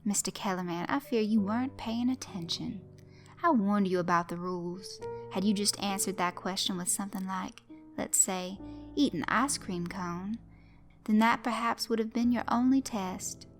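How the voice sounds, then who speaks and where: A woman's voice: mid-range voice, moderate pace, soft background music, close to an everyday voice. A woman voicing a formal, ominously polite male master of games who speaks with precise courtesy.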